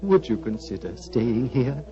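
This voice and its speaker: An actor playing a gentle, wistful old monastery abbot, speaking in a solemn, fatherly, flowery manner of 1940s radio drama. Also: medium pitch, sing-song, measured pace. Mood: wistful, tender. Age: older. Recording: dull, muffled 1940s radio recording.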